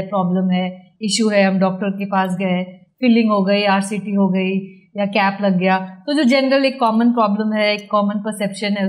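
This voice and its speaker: Indian woman hosting an interview show. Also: medium pitch. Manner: frequent brief affirming interjections while listening. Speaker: talk show host interviewing a guest. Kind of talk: conversational interview in Hindi mixed with English.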